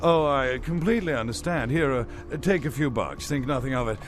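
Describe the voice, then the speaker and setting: An actor playing a polite, fussy stranded gentleman in a video game, speaking in a hesitant, genteel manner. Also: medium pitch, normal speed, faint music behind, lightly acted. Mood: polite, sympathetic.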